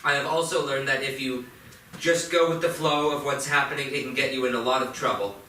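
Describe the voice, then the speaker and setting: A man in a staged reading playing a remorseful teenage boy, speaking earnestly and deliberately. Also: medium pitch, fairly even intonation, bright, forceful tone, lightly acted. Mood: nervous remorse. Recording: echoey theatre, far mic, faint noise.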